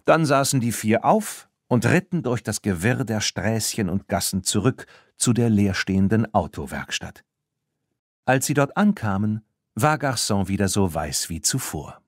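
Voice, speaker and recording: A man reading a children's audiobook as narrator, in an expressive storytelling style. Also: on the low side, a little slow, no echo, clean studio sound.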